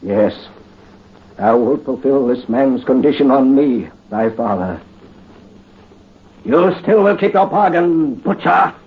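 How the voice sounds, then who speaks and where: An actor playing a proud, solemn aristocrat father facing execution, speaking gravely and declaiming in archaic 1930s radio drama style. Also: mid-range voice, expressive, slow pace, pauses often, full character voice. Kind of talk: 1930s radio horror acting. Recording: muffled 1938 radio broadcast.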